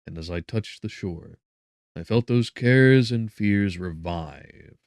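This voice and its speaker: A man narrating a gothic audiobook in a measured, solemn, theatrical way. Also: on the low side, a little mellow, smooth, no room sound, clean studio sound. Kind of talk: dramatic scripted narration of a gothic novel.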